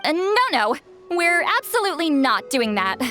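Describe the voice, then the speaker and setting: A woman's voice: high-pitched, sing-song, moderate pace, sharp tone, full character voice. A woman playing an exasperated, youthful-sounding elder in a video game, huffy and scolding. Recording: music behind the voice.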